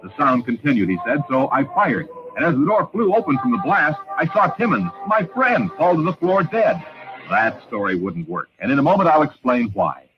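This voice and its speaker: An actor playing a self-assured detective who narrates a mystery in a measured, dramatic old-time radio style. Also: male voice, medium pitch, sing-song, lightly acted. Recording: muffled old radio recording with music.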